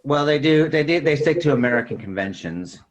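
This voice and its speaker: A man's voice: on the high side, animated, brisk, muffled recording, a crowd in the background. A man speaking as a podcast host in a casual film discussion, often tacking small verbal tags onto his sentences. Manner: repeats verbal tags like "sort of thing".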